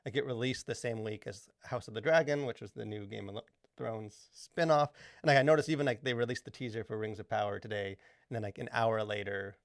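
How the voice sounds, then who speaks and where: A man's voice: on the low side, fairly even intonation, a little fast, creaky, no room sound, clean studio sound. A man co-hosting a podcast, talking casually in a rambling way, full of fillers and restarts. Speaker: podcast co-host. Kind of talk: casual chat.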